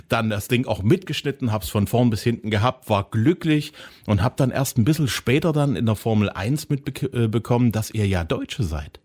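A man's voice: medium pitch, expressive, moderate pace, a little mellow, breathy edge. A middle-aged man from East Germany hosting a podcast interview, chatty and enthusiastic as he reminisces. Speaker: podcast host. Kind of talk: casual interview chat.

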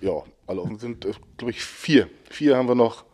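A man from Mecklenburg in his forties, a podcast guest who answers in short, halting bits. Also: mid-range voice, animated, a little mellow. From Mecklenburg, Germany. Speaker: managing director, guest. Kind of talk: casual podcast interview.

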